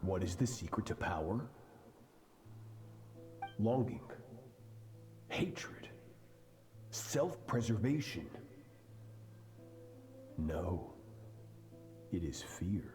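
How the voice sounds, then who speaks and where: An actor playing a cold, merciless sword master in a video game, speaking deliberately and menacingly with a breathy, at times whispery delivery. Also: male voice, deep voice, sing-song, darkish tone, faint music behind, exaggerated voice. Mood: solemn, ominous. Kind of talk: dramatic scripted video game voice acting.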